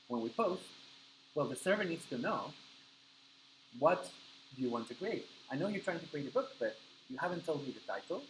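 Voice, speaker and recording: A man from Argentina, a native Spanish speaker, teaches a room of coding students at a steady middle pitch. He breaks each idea into small steps and keeps checking that they follow. A faint hum of the room sits behind his voice. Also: low-quality recording. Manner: explains step by step, keeps checking with "right?". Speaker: coding instructor. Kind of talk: live classroom teaching.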